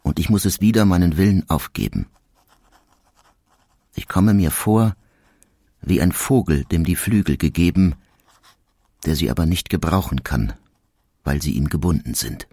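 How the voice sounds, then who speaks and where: A man reading the quoted letters of a young engineer in an audiobook, measured and reflective. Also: sits low, expressive, mellow, husky, dry recording, exaggerated voice. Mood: rueful.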